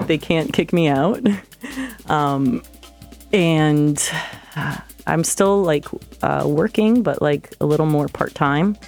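A woman's voice: deep voice, takes it slowly, gravelly, pauses often, a little mellow, soft background music. A woman from Florida, a DJ guest in a casual radio studio interview, talking hesitantly with many fillers and restarts. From Florida, United States.

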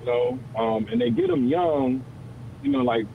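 A man's voice: a little high, wildly swooping pitch, moderate pace, creaky. A male caller on a call-in show, talking earnestly in a rambling way with many fillers. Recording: dull phone line with faint noise.

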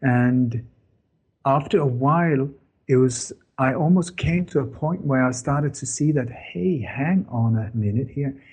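Husky man. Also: mid-range voice, unhurried, a little mellow. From born in Denmark, grew up in Sweden. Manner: earnest, with frequent fillers and self-corrections. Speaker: interview guest, former journalist. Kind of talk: casual interview storytelling.

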